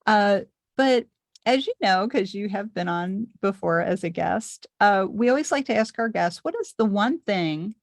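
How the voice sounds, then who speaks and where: A woman hosting a podcast interview in a casual chat, with a soft voice and frequent pauses as she speaks. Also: mid-range voice, lively intonation, no echo, studio quality. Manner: pauses often while speaking. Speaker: podcast host.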